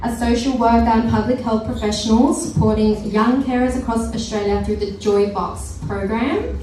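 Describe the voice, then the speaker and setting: A woman's voice: on the high side, moderate pace. A woman moderating a live panel discussion, introducing the guests and asking them questions. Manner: warm introductions, checks pronunciation and sound. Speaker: event host and panel moderator. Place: large event hall. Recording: echoey hall from far off, faint noise.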